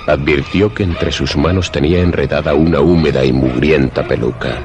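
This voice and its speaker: Very deep, grave horror narrator. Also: male voice, fairly even intonation, noisy background. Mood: dramatic, intense. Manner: solemn, dramatic, deliberate phrasing. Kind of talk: suspense and horror radio drama narration. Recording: dramatic music behind the voice.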